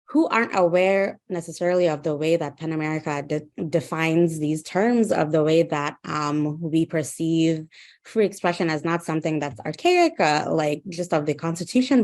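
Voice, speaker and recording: A woman's voice: fairly low pitch, darkish tone. A young woman from Uganda, a guest speaker in a webinar conversation, talking thoughtfully with many ums and restarts. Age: 20s.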